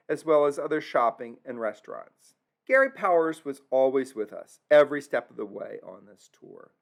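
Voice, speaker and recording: A man's voice: mid-range voice, gravelly. A man narrating his travel video in an earnest, reflective way, stumbling now and then over German place names. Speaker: travel vlogger narrating a tour.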